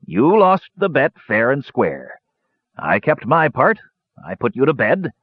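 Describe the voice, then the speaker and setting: A man's voice: mid-range voice, huge pitch swings, a little slow, breathy edge, no room sound, phone-quality sound, voice pushed up, heavily acted. A man voicing a pot-bellied, bearded dwarf adventurer in an audiobook, cunning and cajoling with mock solemnity. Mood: sly. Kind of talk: audiobook character voice.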